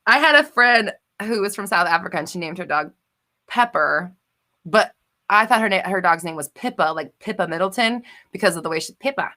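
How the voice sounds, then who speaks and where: Host type: upbeat woman, animated pitch, bright and chatty, reads comments live. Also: a little fast. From United States. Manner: bubbly, laughs often, reads out viewer comments. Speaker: livestream host. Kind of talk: casual live chat reading comments.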